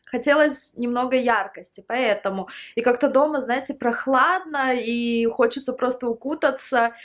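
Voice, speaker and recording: A woman's voice: on the high side, normal speed. A woman in her thirties chatting casually in a home vlog, rambling from topic to topic and laughing often. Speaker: vlogger. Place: at home, indoors.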